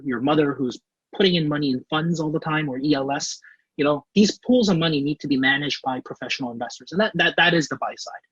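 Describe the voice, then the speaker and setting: A man's voice: fairly high pitch, breathy edge, dry recording, lo-fi sound. A man in his thirties from Canada, a portfolio manager giving an online career class, friendly and eager, with many fillers and restarts. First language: English.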